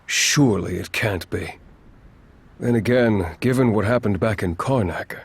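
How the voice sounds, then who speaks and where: Composed, formal war chief. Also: male voice, fairly low pitch, husky, no room sound. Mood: doubtful. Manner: formal, measured wording. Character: courteous, composed, dutiful male war chief. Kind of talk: video game cutscene acting.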